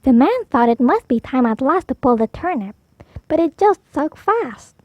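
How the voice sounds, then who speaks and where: A woman storyteller reading a picture book aloud for preschoolers, animated, drawing out key words for emphasis. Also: high-pitched, moderate pace, no echo, muffled recording. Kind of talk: expressive read-aloud for preschoolers.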